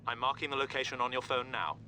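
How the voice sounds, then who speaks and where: An actor playing a brisk, formal intelligence officer briefing an agent in a video game. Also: male voice, medium pitch, bright, forceful tone, slight background noise. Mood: businesslike. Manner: formal, businesslike. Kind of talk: scripted game voice acting.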